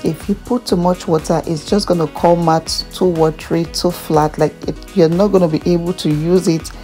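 Low-pitched Nigerian woman, cooking vlogger. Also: steady tone, moderate pace, mellow. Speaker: food vlogger. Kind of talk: casual cooking tutorial narration. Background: background music.

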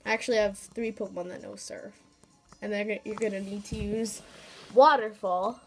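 A woman with a slight cold, sniffling now and then, gives a casual walkthrough of a handheld game. Her voice sits at an ordinary middle pitch, and she rambles with fillers and small asides. Soft game music plays faintly behind her. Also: steady tone, normal speed. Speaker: gaming YouTuber.

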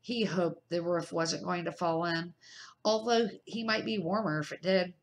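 A middle-aged woman reading a children's novel aloud as an amateur, slightly halting and correcting her words as she goes. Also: lowish voice, steady tone. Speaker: amateur storybook reader.

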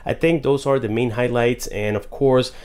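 A man's voice: mid-range voice, fairly even intonation, normal speed, darkish tone. A man narrating a casual unboxing and review, explaining things enthusiastically to viewers as a tech reviewer.

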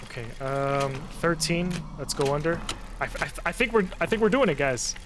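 A man giving casual let's-play commentary on a horror game, talking to himself, repeating phrases and getting excitable when startled. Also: on the high side, normal speed, noisy background. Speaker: host, gamer. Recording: loud game soundtrack under the voice. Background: loud horror game music and sound.

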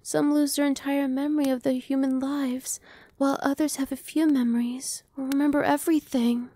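A soft-spoken young vampire woman, played by a young woman in an audio roleplay. Her voice is high yet mellow, slow and reassuring, slipping into whispers, recorded close and dry. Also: level tone, no room sound, natural voice. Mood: soothing. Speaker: voice actress. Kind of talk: ASMR roleplay.

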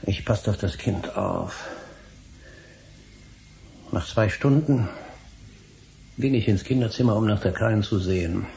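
An actor playing a troubled, grave and desperate scientist with a gravelly voice in a German radio drama. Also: male voice, fairly low pitch, darkish tone, slight background noise.

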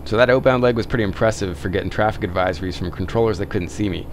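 Low-voiced man narrating quickly. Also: slight background noise. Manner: explanatory and upbeat. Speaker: video creator narrating. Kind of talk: voice-over narration.

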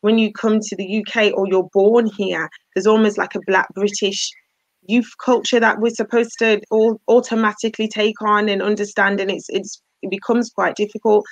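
A woman speaking in a measured, reflective way as a panel moderator over a video call. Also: mid-range voice, little pitch movement, no echo. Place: room at home. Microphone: laptop webcam on a video call. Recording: muffled video-call audio.

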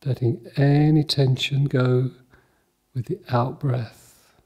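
A man leading a guided mindfulness meditation in a calm, measured, soothing manner, leaving long pauses between short phrases. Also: mid-range voice, breathy edge, dull audio.

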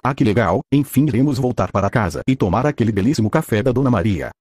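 A crude cartoon gang boss acted by a man with a Brazilian accent. He talks quickly and theatrically at a middling pitch, in a dry recording. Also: lively intonation, a little mellow, no echo, lightly acted. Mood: relieved.

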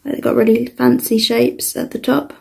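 A young woman with a British accent giving a casual, informal toy review as an unhurried walkthrough. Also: on the high side, fairly even intonation, gravelly.

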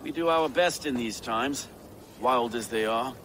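An actor playing an earnest, courteous medieval English reeve in a video game, with old-fashioned polite phrasing. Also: male voice, mid-range voice, measured pace, a bit bright, slight background noise, natural voice. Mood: genial, brisk. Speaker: sheriff. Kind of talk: dramatic video game cutscene acting.

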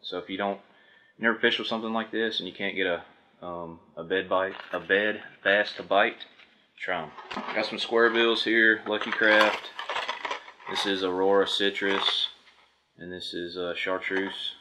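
A man's voice: sits low, steady tone, measured pace, background noise. A man in his thirties hosting a fishing video, talking casually and matter-of-factly to camera as he goes through products. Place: indoors at a table before a backdrop.

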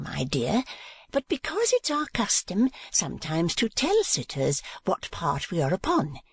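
A woman voicing a shrewd, chatty older female miniature painter in a high, gossipy, exclamatory voice. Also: husky, dry recording, full character voice.

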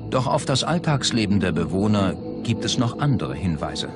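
A man's voice: lowish voice, sing-song, normal speed. Male documentary narrator over prominent music. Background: background music.

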